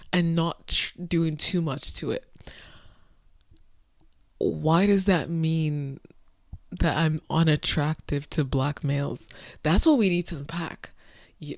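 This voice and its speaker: A woman talking to her camera late at night, incredulous and exasperated, swinging between soft muttering and sudden loud outbursts. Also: low voice, no echo. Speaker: vlogger. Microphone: phone or camera close up.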